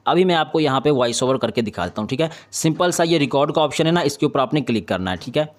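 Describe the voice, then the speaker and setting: A man's voice: a little high, expressive, fast talker. A man hosting an informal step-by-step screen tutorial, instructing with frequent repeated confirming tags. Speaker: video editing tutorial host.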